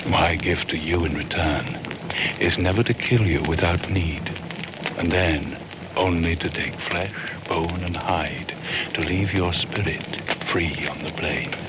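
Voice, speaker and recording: An actor playing a solemn shaman hunter, speaking measured ritual verse for a radio drama. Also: low-pitched. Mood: solemn, reverent. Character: solemn male shaman hunter invoking animal spirits. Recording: clear background ambience noise.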